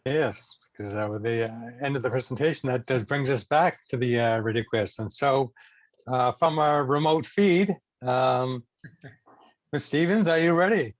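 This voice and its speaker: A man moderating a meeting informally on a video call, halting and hesitant. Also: mid-range voice, no room sound. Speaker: meeting host. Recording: dull, lo-fi video call audio.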